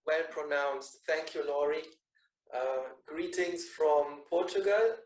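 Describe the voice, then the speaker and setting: A man's voice: fairly high pitch, slow, reverberant, rough recording. A middle-aged man from Bavaria with a German accent, introducing himself on a video call and often repeating words as he looks for them. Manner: relaxed, repeats words while searching for them. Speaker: meeting participant.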